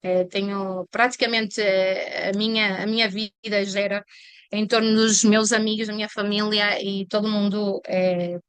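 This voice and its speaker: A woman's voice: mid-range voice, flat delivery, measured pace, a bit bright, clear, resonant tone, no room sound. A woman in her forties with a European Portuguese accent, a Portuguese teacher telling her career story as an interview guest over a video call.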